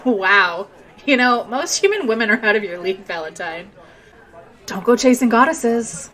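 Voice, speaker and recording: A woman's voice: a little high, lively intonation, normal speed, bright, forceful tone. A woman playing a tough, mocking young punk shapeshifter in an audio drama, with a sarcastic, taunting delivery. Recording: crowd chatter behind.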